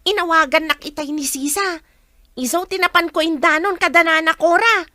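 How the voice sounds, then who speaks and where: Very high, bright, excitable village woman. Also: normal speed, no room sound, clean studio sound. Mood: agitated. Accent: Ilocano. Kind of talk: radio comedy-drama acting.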